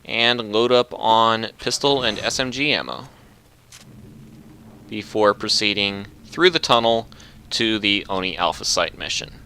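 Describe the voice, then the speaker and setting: A man narrating a video game walkthrough in a relaxed, instructive, matter-of-fact way. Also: fairly low pitch, a little slow, clear, resonant tone. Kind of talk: casual gameplay commentary.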